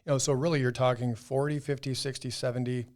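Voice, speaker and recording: A man's voice: medium pitch, little pitch movement, quick pace, darkish tone. A man in his fifties co-hosting a health podcast as a doctor, chatting casually and explaining in a rambling, hedging way. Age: 50s. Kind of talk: casual conversational health discussion.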